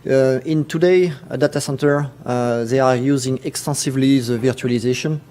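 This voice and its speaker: A man's voice: mid-range voice, dark tone. French man giving a tech talk. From France. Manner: halting, many fillers and restarts. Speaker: conference speaker. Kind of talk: technical conference presentation.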